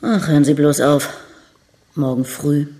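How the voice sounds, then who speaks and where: An actress playing a brash, world-weary young bride-to-be who is coquettish, blunt and provocative, in a radio crime drama. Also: female voice, low voice, fairly even intonation, unhurried, mellow. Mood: bitter, weary. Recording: studio radio drama with music underneath.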